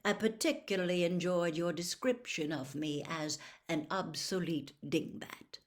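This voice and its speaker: Storybook role: a woman plays an elderly gentleman wizard headmaster, polite, measured, with dry amusement. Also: fairly low pitch, close to an everyday voice. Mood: dryly amused. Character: courteous, twinkling old male wizard headmaster. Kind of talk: storybook character voice.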